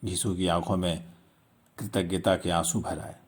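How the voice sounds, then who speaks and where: A man's voice: low voice, little pitch movement, normal speed, breathy edge. A man narrating a literary story in a dramatic storytelling style.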